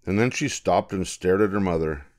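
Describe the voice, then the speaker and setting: A man's voice: sits low, moderate pace, a little mellow. An older man narrating a children's story, reading it aloud as a scripted tale.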